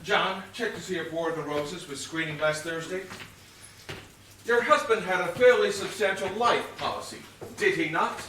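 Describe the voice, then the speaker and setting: A distant, very echoey theatre recording of a man acting a veteran police inspector in a stage comedy. His voice is high for a man and bright, with a stern, formal, exasperated manner. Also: moderate pace, a little hiss or hum. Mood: dry, probing.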